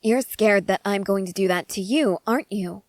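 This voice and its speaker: An eager scientist soldier played by a woman in an audio roleplay, talking straight to the listener. She is excitable and earnest, leaning on key words and halting between thoughts. The voice sits at a middle pitch in a close, dry recording. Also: dry recording, close to an everyday voice. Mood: dawning concern. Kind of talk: ASMR character roleplay addressed to the listener.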